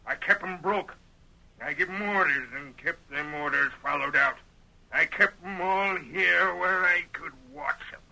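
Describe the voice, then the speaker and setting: An actor playing a crusty, bossy old rancher with an American Western accent, telling things in a folksy, cantankerous way in old-time radio style. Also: male voice, high-pitched, gravelly, pushed and bright, full character voice. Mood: grim recollection. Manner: folksy, cantankerous, deliberate storytelling. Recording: thin, tinny old radio recording.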